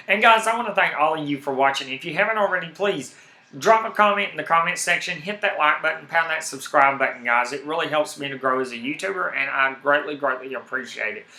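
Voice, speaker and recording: A man with a heavy Southern accent chatting casually on his live stream, rambling and animated, repeating himself when worked up. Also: on the high side, echoey room. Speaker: YouTube streamer.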